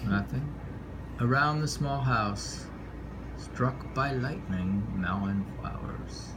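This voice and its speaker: A man's voice: medium pitch, slow, background noise. A man reading short poems aloud and musing on them casually, often repeating phrases. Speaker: poetry reader.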